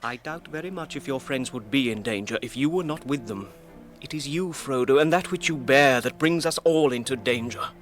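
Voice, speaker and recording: An actor playing a noble, resolute elf lord in a radio drama, speaking formally and earnestly. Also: male voice, medium pitch, moderate pace, faint music behind, lightly acted. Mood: firm.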